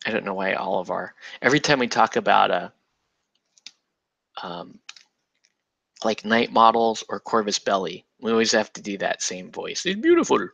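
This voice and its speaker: A chatty man hosting a model-building show, with a bright, forceful tone. He rambles and jokes along, full of "uh" and "like," and the sound is dry and close, with no room echo. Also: fairly low pitch, big pitch swings, moderate pace, vocal fry, long pauses. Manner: rambling and jokey, with lots of fillers; breaks into laughter and puts on silly voices. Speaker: miniatures hobby host. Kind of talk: casual chat while building models.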